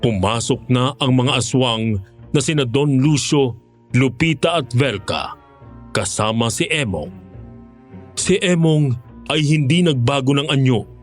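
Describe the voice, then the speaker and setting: Male narrator, mid-pitched, grave and measured, for scripted horror drama with music underneath.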